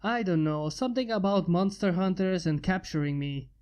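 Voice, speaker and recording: A woman's voice: fairly low pitch, lively intonation, normal speed, darkish tone, lightly acted. Steamlord, a woman doing a smug inventor. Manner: smug, mocking. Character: smug male inventor with robot bodyguards.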